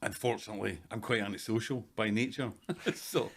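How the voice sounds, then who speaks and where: A Scottish man in his fifties, a hairdresser guest in a casual interview, talking in a dry, self-deprecating, measured way. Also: fairly low pitch, a bit bright, slightly rough. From Scotland. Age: 50s.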